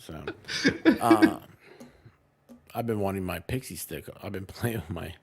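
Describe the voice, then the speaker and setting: A man's voice: sits low, measured pace, husky. A man co-hosting a livestream with casual, playful banter and scattered asides. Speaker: livestream co-host.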